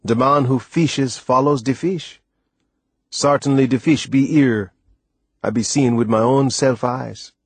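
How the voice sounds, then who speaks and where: A man performs an old West Indian seaman for an audiobook, pitched up and hearty. He speaks in dialect with emphatic, deliberate phrasing and a reassuring temper. The recording is clean and dry. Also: breathy edge, dry recording, natural voice. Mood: grave, careful. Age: older. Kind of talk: audiobook character voice.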